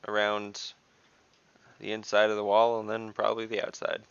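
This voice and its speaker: Male tabletop player, very low and fry-heavy with an edge, dry practical delivery, slightly muffled call audio. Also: monotone, moderate pace. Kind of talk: casual in-game planning talk.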